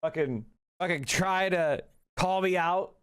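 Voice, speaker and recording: A man hosting a political livestream, talking excitedly and profanely in a rambling, casual way. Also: high-pitched, a little slow, a little hiss or hum.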